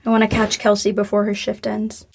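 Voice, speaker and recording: An actress plays a young woman in an audio drama who talks softly, with a dry, self-mocking edge. Her pitch sits at a middling height. A faint hiss of noise runs under her lines. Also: monotone, a little fast, gravelly, close to an everyday voice. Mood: subdued. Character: Zoe, young woman working through grief.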